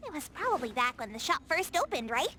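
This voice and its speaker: A woman voicing an excitable, boastful young girl detective in an anime-style game, with an exuberant, declamatory delivery. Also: high-pitched, brisk, a little hiss or hum, exaggerated voice. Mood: surprised, cheerful. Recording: music behind the voice.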